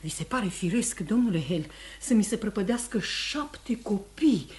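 A woman's voice: medium pitch, animated, a little mellow, lightly acted. An actress playing a bitter, accusing Puritan mother in grief, insistent and defiant, in a radio play. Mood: bitter, grieving. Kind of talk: radio theatre acting.

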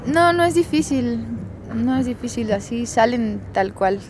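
A young woman with a Mexican accent talks in a loose, chatty way and laughs often. Her voice sits a little high. Faint noise hums under the recording. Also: animated, measured pace. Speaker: musician guest. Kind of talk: casual radio interview.

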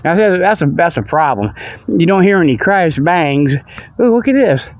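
A man's voice: fairly high pitch, big pitch swings, normal speed, dry recording, dull audio. An older man hosting a hobby radio show, rambling in a folksy, joking, meandering way. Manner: folksy, meandering, chuckles at own jokes. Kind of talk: rambling casual monologue, joking. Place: outdoors by a river.

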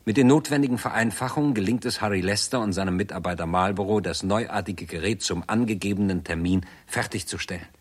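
A man's voice: lowish voice, fast, hardly any pauses, breathy edge. A man reading scripted narration for a 1960s radio play.

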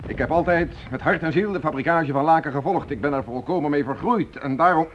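A man's voice: on the high side, fairly quick, lightly acted. Guy acting fatherly middle-aged mill owner in old radio play, earnest, stubborn, muffled noisy sound. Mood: earnest, resolute.

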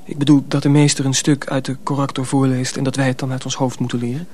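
An actor playing a naive, earnest teenage apprentice in a Dutch radio drama, with an eager, youthful manner. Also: male voice, mid-range voice, level tone, fast, darkish tone, slight background noise, lightly acted. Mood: earnest, questioning.

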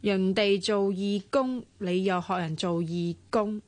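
A woman's voice: mid-range voice, fairly even intonation, unhurried, a little mellow, dry recording, close to an everyday voice. A woman with a Hong Kong Cantonese accent, playing a skeptical young woman who teases her friend in a radio drama. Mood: doubtful, teasing. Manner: doubtful, teasing.

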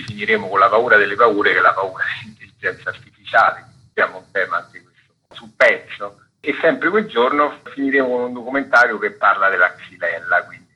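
A man, a festival curator, answering interview questions on a radio program in a relaxed, meandering way. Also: lowish voice, husky, lo-fi sound. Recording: radio broadcast.

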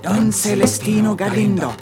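A man narrating a literary radio play with measured, pointed phrasing. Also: high-pitched, fairly even intonation. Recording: loud music behind the narration. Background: loud music.

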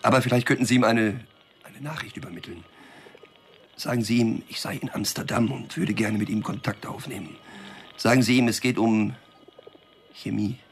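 Man acting wary small-time smuggler, gravelly low voice. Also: moderate pace, long pauses, a little hiss or hum, natural voice. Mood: polite, guarded. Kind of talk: crime radio drama acting.

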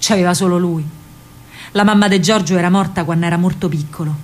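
An actress playing a spirited young partisan woman with a Roman accent, telling her story in an expressive, colloquial radio monologue. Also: lowish voice, fast talker, close to an everyday voice. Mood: grave, impassioned. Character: young female partisan courier, lovestruck and spirited. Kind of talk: theatrical radio monologue, dramatic first-person storytelling.

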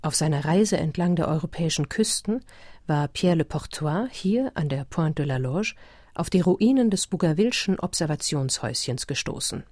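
A narrator in a radio play, reading a whimsical documentary report in a measured, formal, matter-of-fact way. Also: medium pitch, expressive, darkish tone, no room sound, studio quality, close to an everyday voice. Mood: sober, measured.

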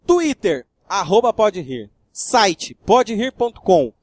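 A man's voice: high-pitched, big pitch swings, slow, a little mellow, no room sound. A man with a Brazilian accent hosting a comedy podcast in casual chat.

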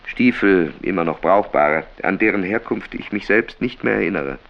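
An actor playing a sober, weary man home from war captivity, in a measured literary radio play monologue. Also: low voice, expressive. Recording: dull sound with clear background noise.

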